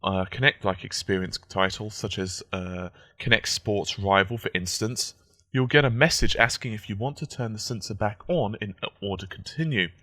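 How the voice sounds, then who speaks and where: A man with a croaky, just-woken-up voice, giving casual, analytical gaming news commentary. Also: lowish voice, normal speed, sharp tone, no room sound. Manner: conversational, analytical.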